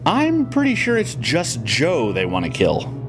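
An actor playing a nervous, wisecracking friend in an audio drama, given to wry asides. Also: male voice, mid-range voice, huge pitch swings, a little slow, over music.

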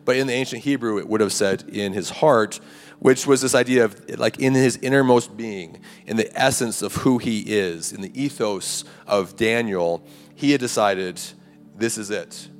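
A man preaching a conversational sermon to a campus ministry, his voice raspy and a little hoarse. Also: lowish voice, normal speed. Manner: conversational preaching with rhetorical "right?" and "like". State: hoarse, clearing throat. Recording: live hall recording, long reverb, faint music.

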